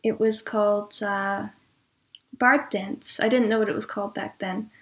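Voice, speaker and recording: A woman speaking as an interview guest in casual, reminiscing conversation, with a thoughtful, measured way of talking. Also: mid-range voice, steady tone. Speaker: interview guest, composer and audio drama producer.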